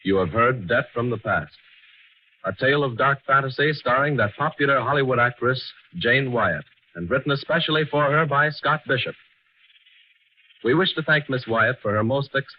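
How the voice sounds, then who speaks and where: An American man reading a scripted sign-off in a formal 1940s radio announcing style. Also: mid-range voice. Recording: slightly muffled vintage radio broadcast recording.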